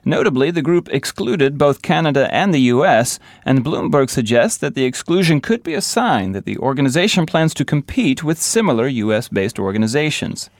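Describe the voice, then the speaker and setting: A man's voice: mid-range voice, very animated, moderate pace, hardly any pauses, no echo. A man reading scripted radio news as a newsreader.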